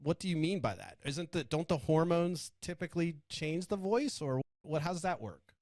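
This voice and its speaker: A man's voice: a little high, expressive, normal speed, a little mellow, no echo, clean studio sound. A man hosting a casual interview, asking curious questions and sometimes searching for his words. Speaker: podcast host.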